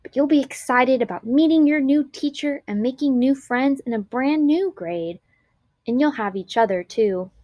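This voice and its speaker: A woman voicing a kind, patient schoolteacher who gently reassures and encourages her young class in a children's storybook. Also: sits high, moderate pace, dry recording, voice pushed up, close to an everyday voice. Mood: gentle, reassuring. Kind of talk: children's storybook read-aloud.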